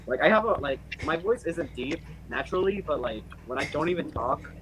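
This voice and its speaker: A man's voice: on the high side, expressive, brisk, noisy background. A young man chatting casually over a call, rambling with lots of fillers and restarts. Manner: rambling, full of fillers and restarts, says his natural voice is quiet. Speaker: channel owner and voice actor.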